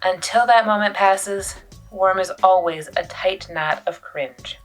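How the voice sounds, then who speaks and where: A woman reading a children's novel aloud as an expressive storyteller, with dramatic pauses and emphasis. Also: mid-range voice, faint music behind, rough recording. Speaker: youth services librarian reading a book aloud.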